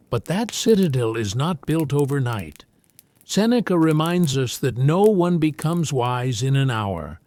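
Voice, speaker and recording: Male narrator for guided sleep meditation: calm, solemn, unhurried, expressive pitch movement, scripted delivery. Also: no echo.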